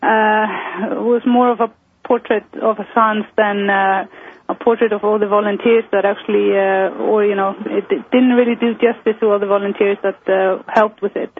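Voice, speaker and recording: A woman from Iceland, a guest in a phone-in interview, speaking haltingly and searching for her words. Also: mid-range voice, little pitch movement. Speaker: guest, member of parliament. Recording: tinny phone line.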